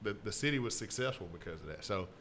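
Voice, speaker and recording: Animated man, bright voice. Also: on the low side, fast talker, a little hiss or hum. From Texas City, Texas. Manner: animated, storytelling with many fillers. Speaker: podcast co-host. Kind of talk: casual chat.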